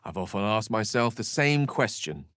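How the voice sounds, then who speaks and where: A man's voice: fairly low pitch, sing-song, normal speed, a bit bright, no room sound, studio quality. An actor playing an eloquent, learned wizard in a video game, articulate and bookish, wry and earnest in his florid phrasing. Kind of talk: scripted video game character dialogue.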